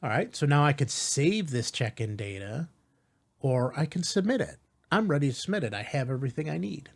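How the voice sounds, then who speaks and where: A man hosting a step-by-step software tutorial in an informal, reassuring way, often checking in with rhetorical asides. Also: a little high, big pitch swings, fairly quick, gravelly. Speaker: tutorial host.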